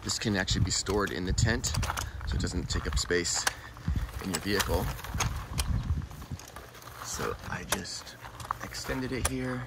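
Guy with a lowish voice walking you through a rooftop tent, phone cam outside, lots of noise behind. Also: unhurried, pauses often. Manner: relaxed, explaining while handling gear. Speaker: product reviewer. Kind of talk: casual hands-on demo. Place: outdoors beside a car, then inside a rooftop tent.

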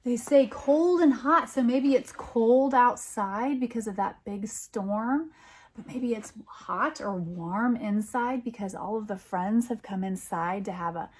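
A middle-aged American woman reads a picture book aloud, adding expressive, wondering comments for young listeners. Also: mid-range voice, takes it slowly, slightly rough. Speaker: storytime reader and teacher.

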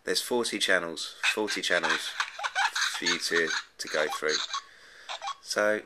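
A man's voice: low voice, unhurried, heavy background noise. A man giving a casual, unscripted app review in a hesitant, rambling way, often repeating his words. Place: indoors at a desk, off screen.

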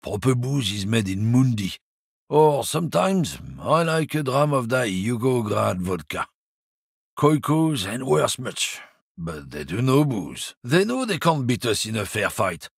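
An actor playing a boastful, crude, bigoted middle-aged lorry driver in a video game, recorded on a dry studio mic. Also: male voice, mid-range voice, very animated, unhurried, creaky, slightly rough, no room sound, exaggerated voice. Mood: sneering.

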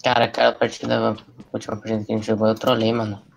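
A young man, chatting casually and excitably while streaming a shooter game, repeating words and joking. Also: fairly low pitch, very fast, creaky, a little hiss or hum, rough recording. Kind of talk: casual gaming chat.

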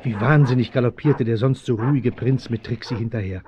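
Ein Schauspieler als Erzähler eines Abenteuerhörspiels für Kinder, mit dramatischem Vortrag. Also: male voice, mid-range voice, a little fast, warm tone, lo-fi sound, a little hiss or hum, lightly acted. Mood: dramatic, urgent. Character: storytelling male narrator of children's adventure. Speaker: narrator. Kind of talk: dramatic scripted audio play narration.

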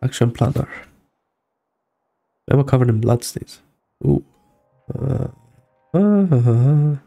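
A man in his thirties gives an offhand game reaction, reading along as he plays. His voice is very dark and relaxed with heavy fry, and soft game music sits behind him. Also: mid-range voice, takes it slowly. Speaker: streamer. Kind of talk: casual game reaction, reading on-screen text aloud. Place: small indoor room.

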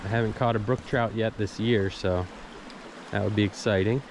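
A man's voice: fairly low pitch, fairly even intonation, normal speed. A man casually narrating his fly fishing trip outdoors beside a small snowy creek, explaining his gear step by step. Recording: audible stream water noise behind. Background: running creek water.

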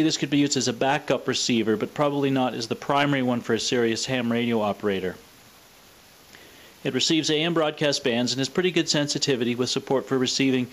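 A man presenting scripted technical narration in a measured, matter-of-fact manner. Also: mid-range voice. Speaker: presenter of a vintage radio demonstration.

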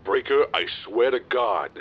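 An actor playing a wary, exasperated gangster heard over speakerphone in an audio roleplay, weary and irritated. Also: male voice, mid-range voice, lively intonation, measured pace. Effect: speakerphone filter. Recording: muffled, husky speakerphone sound.

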